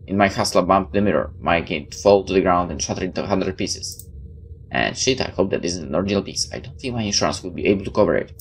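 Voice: deep voice, expressive, fast. A gameplay host reading visual novel text aloud expressively and adding casual comments. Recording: clear background noise.

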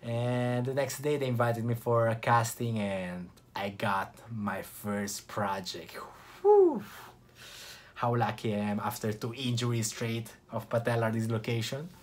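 A young man with an Italian accent, from Italy, chatting to camera in a casual vlog with relaxed restarts and short pauses. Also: mid-range voice. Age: young adult. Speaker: vlogger.

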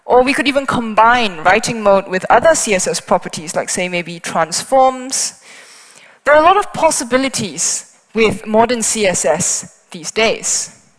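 A woman's voice: fairly high pitch, lively intonation, a little slow. A woman whose first language is Chinese, giving a technical talk on stage at a conference with a headset mic, explaining things step by step with small asides. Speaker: conference speaker. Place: large conference hall on stage. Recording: hall reverb.

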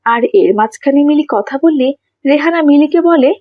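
A woman's voice: sits high, normal speed, no room sound, narrow, tinny audio. A woman narrating a scripted moral story for children.

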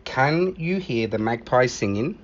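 A man reading a picture book aloud in an expressive, animated manner, acting out the exclamations. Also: mid-range voice, unhurried, highs cut off, very noisy. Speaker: library staff story reader.

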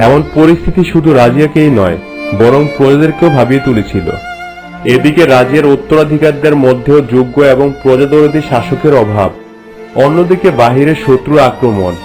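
A man's voice: mid-range voice, darkish tone, natural voice. A man playing a reverent royal courtier in a cartoon, explaining things formally and respectfully to his queen. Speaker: storytelling courtier. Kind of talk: scripted cartoon dialogue in formal narration. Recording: music bed under the voice. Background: music.

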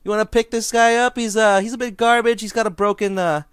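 A young man trolling an online opponent over a headset, with sarcastic, deadpan mockery and sudden laughter. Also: sits high, fast talker, clean tone, dry recording, clean studio sound. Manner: mocking, sarcastic, deadpan jokes, bursts of laughter. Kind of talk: taunting party chat over gameplay.

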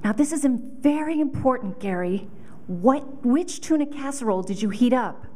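Here is a woman acting as a harried working mom who is a casually sinister deputy mayor. Her voice sits a little high with a mellow tone. She delivers the comic audition monologue briskly and deadpan, as matter-of-fact about crime as about dinner. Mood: harried, lively. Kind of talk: audition comedy monologue read. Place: hotel conference room, at a standing mic.

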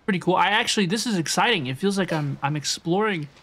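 A woman's voice: lowish voice, lively intonation, fast talker, fairly bright tone. A young woman game streamer chatting casually with viewers as she plays, often repeating her phrases. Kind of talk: casual live-stream chat and gameplay commentary. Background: music.

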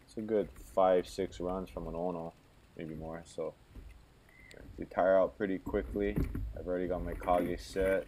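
Man, very deep voice, calm step-by-step voice-over of his own fishing. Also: unhurried, slight background noise. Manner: calm, matter-of-fact, explains each step. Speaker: kayak fisherman.

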